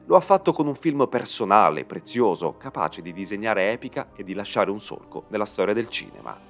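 A man's voice: on the low side, lively intonation, brisk. A man narrating a scripted video essay with emphatic, dramatic phrasing. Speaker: narrator and channel host. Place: voice-over recording. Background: background music.

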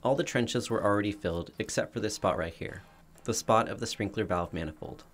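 A man's voice: fairly low pitch, a little fast. A man, narrating his backyard renovation in a plain, matter-of-fact voice-over. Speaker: DIY home renovation vlogger. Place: voice-over, not recorded in the pictured yard. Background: soft background music.

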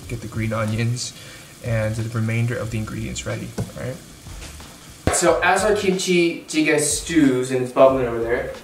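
Chatty young man cooking in an echoey kitchen. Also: medium pitch. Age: young adult. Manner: chatty, self-deprecating, thinks aloud while cooking. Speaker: vlogger cooking at home. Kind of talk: casual cooking vlog. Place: home kitchen, indoors. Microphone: camera mic at a distance. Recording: echoey kitchen with frying noise. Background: sizzling pan and background music.

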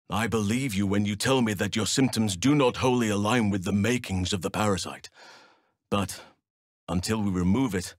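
A man playing a wise, gentle druid in a video game, speaking in a measured, formal and earnest way. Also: on the low side, dry recording, clean studio sound, close to an everyday voice. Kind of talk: video game character acting.

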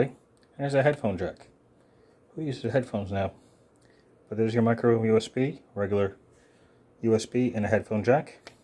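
Low-voiced man giving a casual demo. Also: warm tone. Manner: offhand, halting, thinking aloud. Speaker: presenter of a gadget demonstration. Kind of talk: casual unscripted product demo. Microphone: phone held near the hands filming.

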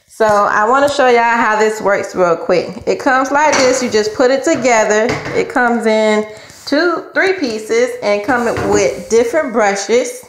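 A woman's voice: fairly high pitch, unhurried, background noise. A woman with a Southern US accent chatting casually in a folksy, informal way, as a vlogger reviewing a product. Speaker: vlogger reviewing a cleaning gadget. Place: indoors in a home bathroom. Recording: roomy echo of hard-walled room.

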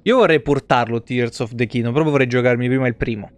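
A young man doing casual, animated live game commentary in slangy language with frequent swearing. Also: mid-range voice, fast talker. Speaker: game streamer.

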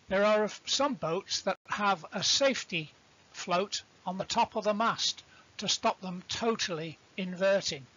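A man giving an explanatory lecture as an instructor, deliberate and didactic, defining terms as he goes. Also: high voice, sharp tone, muffled recording. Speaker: instructor presenting a sailing tutorial.

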